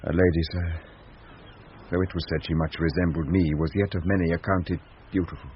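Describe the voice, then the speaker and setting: An actor plays a grave, courteous young gentleman with a very low voice and a sorrowful bearing. He speaks formal Shakespearean lines as in an old radio play. The sound is muffled, with noise under it. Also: level tone, a little fast, natural voice. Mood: grieving. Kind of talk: classic Shakespearean radio acting. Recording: muffled 1970s radio recording, noisy.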